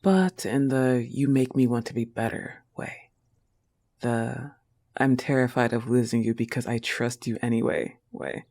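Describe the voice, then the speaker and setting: A young woman playing an affectionate, possessive, teasing wolf-girl girlfriend in an intimate roleplay audio, tender and often breathy. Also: a bass voice, measured pace, a little mellow, exaggerated voice. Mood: tender, earnest.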